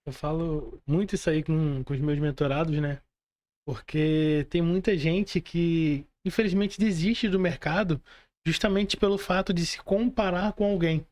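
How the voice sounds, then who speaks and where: A woman's voice: low voice, steady tone, a little slow, a little mellow. A Brazilian woman hosting a podcast, chatting casually and motivationally, repeating phrases and putting rhetorical questions to listeners. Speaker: podcast host, mentor.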